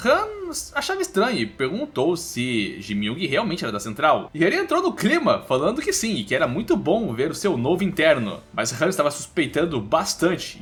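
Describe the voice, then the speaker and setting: A Brazilian man delivers a casual, scripted story recap. His voice sits high for a man and moves through very wide swings of pitch. Music plays beneath the narration. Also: fairly bright tone. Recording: music bed under voice. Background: background music.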